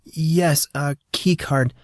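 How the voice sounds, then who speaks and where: A man voicing an excitable, absent-minded, motherly woman in an audiobook story, eager and fussing. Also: a little high, drawn-out words, no echo, studio quality, pitched above the performer's own voice.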